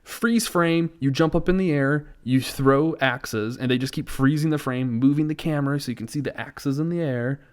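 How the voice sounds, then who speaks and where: A man's voice: medium pitch, normal speed, hardly any pauses, clear, resonant tone. A man with a mid-range voice chats casually as a podcast co-host. He rambles through his complaints, sounding incredulous and exasperated. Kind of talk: casual chat, film ranting.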